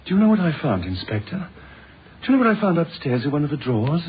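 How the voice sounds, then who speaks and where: An actor playing a distraught British novelist in an old radio drama, agitated and stammering, with a strained, husky voice. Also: male voice, fairly high pitch, very animated, quick pace, full character voice. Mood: fretful, fixated. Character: distraught, confused male novelist confessing to murdering his wife. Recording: muffled old radio recording.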